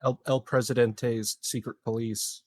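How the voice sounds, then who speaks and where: A man's voice: mid-range voice, little pitch movement, a little slow, a little mellow, dry recording. A man talking casually as a player in a tabletop game, starting his sentences hesitantly and repeating words. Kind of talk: casual chat.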